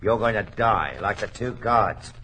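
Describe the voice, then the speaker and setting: A cold fugitive gunman with a forceful, sharp, fairly low voice. He threatens deliberately in 1940s radio style, over faint recording noise. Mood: menacing. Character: menacing armed killer, cold fugitive robber. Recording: slightly noisy old radio recording.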